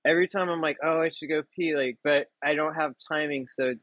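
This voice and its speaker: Male comic guest, giggly and animated, dull lo-fi recording, dry. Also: a little high, a little fast, dry recording. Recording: dull, lo-fi sound.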